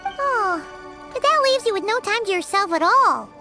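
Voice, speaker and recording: A woman voicing a tiny, childlike fairy companion in a video game, squeaky, excitable and blunt. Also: normal speed, full character voice. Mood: sympathetic. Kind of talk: video game character dialogue. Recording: studio voice under prominent music.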